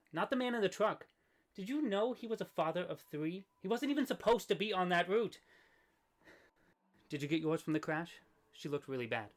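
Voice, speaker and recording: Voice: medium pitch, lightly acted. A performer playing a talkative, opinionated grim reaper in an audio drama, conversational and matter-of-fact.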